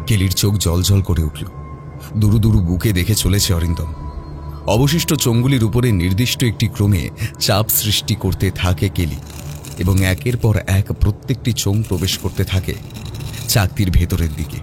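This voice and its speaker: Male narrator, low voice, suspenseful, theatrical, scripted horror storytelling, prominent music behind. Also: normal speed. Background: prominent background music.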